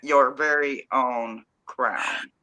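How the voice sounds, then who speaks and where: A woman's voice: rumbling low, fairly even intonation, drawn-out words, sharp tone. An older woman co-hosting a tarot live stream, playful and teasing. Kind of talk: casual live stream chat.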